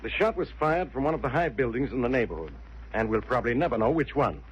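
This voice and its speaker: An actor playing a brash, sarcastic police inspector in 1940s radio style, blustery and insistent. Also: male voice, medium pitch, lively intonation, fast talker, natural voice. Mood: needling. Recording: dull, hissy old radio recording.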